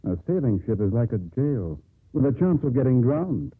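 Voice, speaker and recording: A grown son full of scorn for sailing. He speaks dismissively with animated, mocking intonation and a husky edge. The sound is a thin, old radio drama broadcast. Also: mid-range voice, moderate pace. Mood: scornful. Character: scornful landlubber son in his twenties or older. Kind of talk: 1950s radio drama acting. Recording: muffled, narrow-band old radio broadcast.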